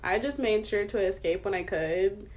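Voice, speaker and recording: A woman's voice: medium pitch, normal speed. A woman in her twenties co-hosting a casual chat podcast, talking with many fillers and frequent laughs. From United States. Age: 20s. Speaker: podcast co-host.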